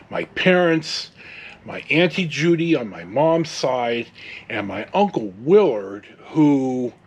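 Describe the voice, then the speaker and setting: A man in his sixties with a Californian American accent, telling a casual, meandering story as host, with digressions and mild swearing. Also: on the high side. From California, United States. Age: 60s. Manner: meandering, digressive, mildly profane.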